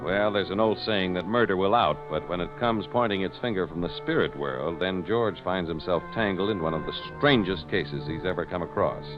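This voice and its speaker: A man's voice: sits low, a little fast, hardly any pauses, lightly acted. A man narrating a vintage radio mystery as a dramatic announcer. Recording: muffled old radio, loud music.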